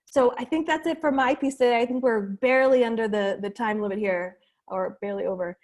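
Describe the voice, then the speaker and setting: A woman's voice: a little high, steady tone, fairly quick, a little mellow. A woman wrapping up a webinar presentation over a video call, casual and friendly, with many fillers.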